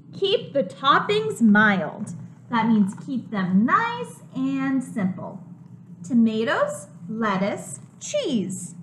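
A woman in her twenties, a preschool teacher reading a picture book aloud to small children in an animated, simply explaining way. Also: high voice, slight background noise. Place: home kitchen, indoors.

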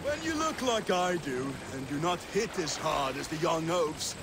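Battle-worn Viking poet, video game monologue, grandiose, wistful, gravelly edge, sweeping intonation, river and boat ambience. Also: male voice, fairly high pitch, lively intonation, unhurried, noisy background. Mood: earnest, wistful. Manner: grandiose, poetic storytelling. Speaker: storyteller. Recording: water and boat ambience behind.

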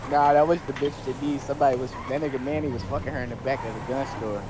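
A man's voice: mid-range voice, steady tone, rapid-fire, background noise. A young man streaming on a headset, trading profane, slangy roleplay banter in an online game and often repeating his phrases.

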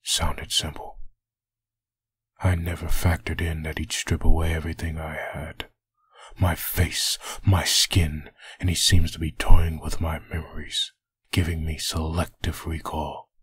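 A man voicing a brooding, vengeful undead antihero in a husky growl, delivering a grim inner monologue for a comic dub. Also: a bass voice, level tone, measured pace, a bit bright, no room sound, full character voice. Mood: bitter.

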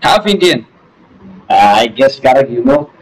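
A man's voice: mid-range voice, sing-song, moderate pace, low-quality recording. A young man with a Malayali English accent chatting casually on a video call, playful and teasing, with lots of hesitant fillers. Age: young adult. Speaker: video chat prankster. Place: small room, indoors.

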